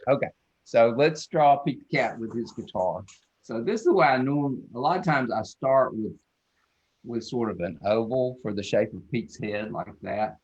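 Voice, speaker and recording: A man's voice: medium pitch, expressive, normal speed, dull audio. A man, an illustrator talking casually and playfully as he demonstrates drawing. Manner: folksy, playful, self-described silly. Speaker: children's book illustrator.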